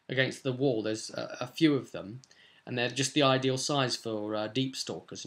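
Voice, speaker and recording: A man's voice: medium pitch, a bit bright. A man in his twenties talking informally and off the cuff, recapping a tabletop role-playing game in a rambling, conversational way at his desk. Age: 20s. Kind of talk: casual unscripted game session recap. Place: small furnished room at home.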